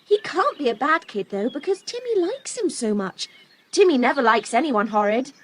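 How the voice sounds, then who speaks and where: A woman's voice: high voice, sing-song, normal speed, slight background noise. A woman playing a timid, kind-hearted little girl who speaks earnestly in a children's audio drama. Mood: concerned.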